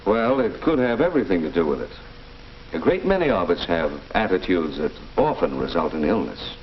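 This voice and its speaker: An American man playing a kindly, patient family doctor in a 1940s instructional film, lecturing in a measured, deliberate way. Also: deep voice, big pitch swings. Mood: patient, explaining. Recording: muffled, hissy 1940s film soundtrack.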